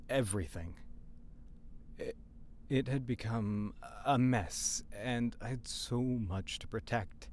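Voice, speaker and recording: A man's voice: lowish voice, a little mellow, a little hiss or hum. An actor playing a weary young man in a supernatural mystery audio drama, caught up in a search for a missing girl. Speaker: narrator and character in supernatural mystery podcast. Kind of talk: audio drama acting with reflective narration.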